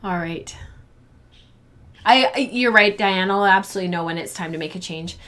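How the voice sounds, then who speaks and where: A woman hosts a live fiber arts stream, talking casually to her viewers. She rambles a little, with frequent ums and false starts. Her voice sounds tired and a bit hoarse. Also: medium pitch, normal speed, a bit bright. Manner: chatty, rambling, lots of ums and restarts. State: losing her voice. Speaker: podcast host of a fiber arts show.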